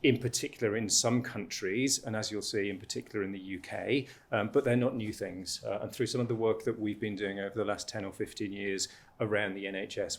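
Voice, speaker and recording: A man's voice: fairly low pitch, gravelly. A man facilitating a panel and presenting a report, with many fillers and restarts.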